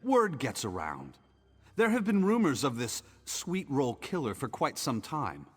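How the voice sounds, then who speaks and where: An actor playing a smarmy, flirtatious male merchant in a video game, with a husky, oily delivery. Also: medium pitch, wildly swooping pitch, a little slow, in a large hall, faint music behind, heavily acted. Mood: confiding. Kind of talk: video game character dialogue.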